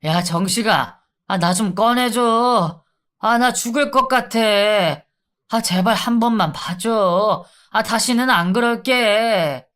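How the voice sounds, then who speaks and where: A brash young male inmate in a storybook tale, as a man puts him on, whining and pleading in a raised, strained voice. He taunts and cries out, much higher and louder than the narrator's usual tone. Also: slow pace. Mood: begging. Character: brash whiny male inmate in withdrawal, narrator's old school bully.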